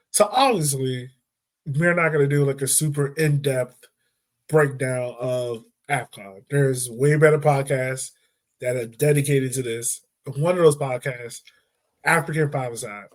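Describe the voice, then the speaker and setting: A man hosting a podcast in relaxed, chatty sports talk, often laughing and dropping casual fillers. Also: mid-range voice, normal speed. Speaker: podcast host.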